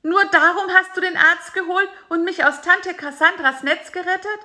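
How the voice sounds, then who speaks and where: A woman voicing a small excitable male fly with high, shrill outbursts in a storybook reading. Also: exaggerated voice. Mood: indignant. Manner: shrill, quick, squealing outbursts.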